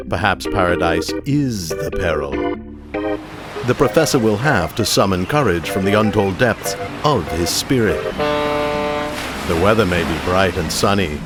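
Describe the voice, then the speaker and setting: An actor playing a grandiose old-time radio serial announcer, narrating with melodramatic suspense. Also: male voice, on the low side, close to an everyday voice. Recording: loud music under the voice.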